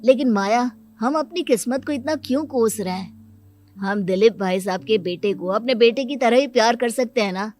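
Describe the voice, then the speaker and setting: A woman's voice: on the high side, moderate pace, darkish tone, soft background music, natural voice. A woman playing a mild, polite, childless husband and younger brother in a moral story. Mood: consoling. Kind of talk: dramatised Hindi moral story acting.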